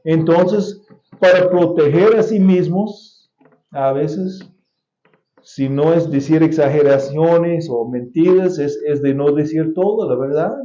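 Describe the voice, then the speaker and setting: Guy preaching, high-ish voice but mellow, slow and careful, echoey church, kinda dull sound. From United States. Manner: deliberate preaching with repeated key phrases and occasional restarts. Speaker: pastor. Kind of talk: sermon. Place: church sanctuary.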